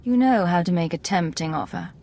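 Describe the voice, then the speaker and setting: An actress playing a resolute imperial secret agent in a video game, cool, clipped and controlled. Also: female voice, fairly low pitch, brisk, gravelly, a little mellow. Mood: guarded.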